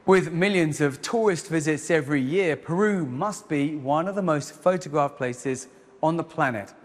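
A man narrating a scripted news report in a measured, even voice. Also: fairly high pitch.

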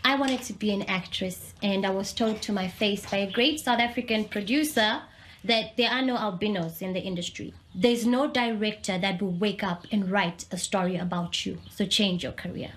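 A woman's voice: mid-range voice, normal speed, fairly bright tone, roomy sound. A young woman from Zambia speaking candidly and earnestly about her own life. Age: young adult. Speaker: interviewee. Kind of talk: personal testimony.

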